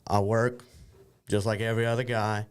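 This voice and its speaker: A man's voice: lowish voice, level tone, moderate pace, smooth. Wry man in his thirties. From Louisiana. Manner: wry, self-deprecating humor. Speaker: guest, business owner. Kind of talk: casual interview chat.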